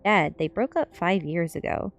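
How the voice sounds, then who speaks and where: A woman's voice: fairly low pitch, lively intonation, normal speed, gravelly, muffled recording, faint music behind. A woman reading the part of a sardonic, stubborn, dramatic goth teenage girl in a story. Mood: insistent.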